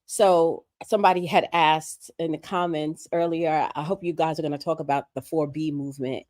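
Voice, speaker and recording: A hoarse woman, sick with a respiratory virus, making earnest, emphatic points as a guest on a livestream conversation. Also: deep voice, steady tone, normal speed, a little mellow, no echo, clean studio sound. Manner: earnest, emphatic, building points through repetition. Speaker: guest commentator.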